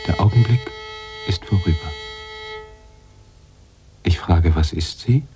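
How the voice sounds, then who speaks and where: An actor playing an anguished, philosophical writer-narrator in a literary radio drama, halting and searching, slipping at times toward a whisper. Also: male voice, low-pitched, music in the background. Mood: haunted, awed. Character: brooding male writer-narrator, anguished, philosophical, mourning his heroine.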